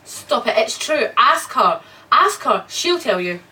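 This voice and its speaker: An actress playing a frightened, pleading wife trapped in a nightmare, in an old radio drama. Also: female voice, on the high side, sing-song, measured pace, pushed and bright, full character voice. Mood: anguished. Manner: urgent, pleading, emotional. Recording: distant, noisy old radio recording.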